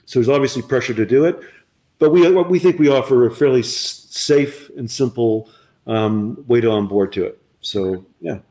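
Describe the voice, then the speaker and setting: A man's voice: mid-range voice, normal speed, dark tone. A man answering interview questions about his company's platform, speaking eagerly and often repeating words as he restarts. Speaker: company representative. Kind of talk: conversational business interview.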